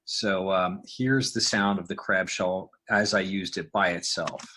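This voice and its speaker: A man's voice: deep voice, fairly even intonation, a little slow, bright, forceful tone, slight background noise. A man giving a casual, informal walkthrough as a podcast creator and sound designer, explaining loosely as he goes.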